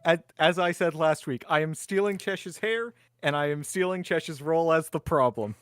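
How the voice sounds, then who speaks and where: A man's voice: a little high, moderate pace, fairly bright tone, smooth, dry recording, studio quality. A man chatting casually as a player in a tabletop roleplaying game, self-deprecating and a bit theatrical.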